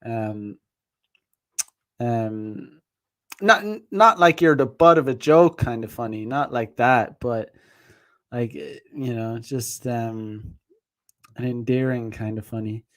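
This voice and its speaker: A man's voice: mid-range voice, slow pace, pauses often, no room sound. Rambling man, dry close sound. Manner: rambling, heavy on fillers, laughs often. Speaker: dating advice streamer. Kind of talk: casual rambling livestream talk.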